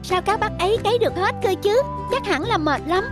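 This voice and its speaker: Sweet, polite little schoolkid, very high childlike voice for animation, music underneath. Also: female voice, animated, a little fast. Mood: excited wonder. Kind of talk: children's cartoon dubbing. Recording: music behind the voice.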